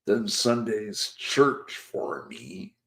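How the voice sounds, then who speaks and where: A man's voice: fairly low pitch, sing-song, very slow, vocal fry. A man hosting a casual live chat show, losing his voice, rambling and reading out viewer comments. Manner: rambling, chatty, reads viewer comments aloud. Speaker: host.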